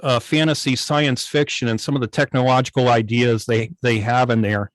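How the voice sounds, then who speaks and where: A middle-aged man explaining things casually on a video call, often pausing to search for his words. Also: medium pitch, steady tone, no room sound. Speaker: guest, old-time radio station operator. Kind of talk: casual explanatory talk on a club video meeting.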